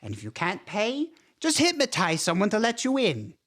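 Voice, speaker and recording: A man's voice: high-pitched, wildly swooping pitch, normal speed, fairly bright tone, full character voice. An actor playing a courtly, easily flustered vampire father with formal, stilted phrasing in a campy horror comedy. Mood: persuasive, reassuring.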